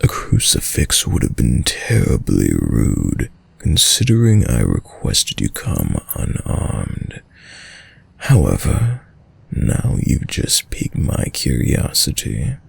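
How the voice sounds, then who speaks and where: A man playing a dominant, sadistic vampire lord in an intimate audio roleplay, speaking in a deep, breathy, gravelly voice with courtly, teasing menace. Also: wildly swooping pitch, dry recording, heavily acted. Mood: courteous, teasing. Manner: slow, silky, formal, teasing menace with drawn-out pauses.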